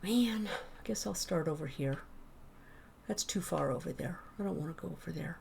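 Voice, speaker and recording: A woman streaming a game, chatting casually with viewers and rambling as she thinks out loud. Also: fairly low pitch, normal speed, gravelly, background noise. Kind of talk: casual gameplay chat with viewers.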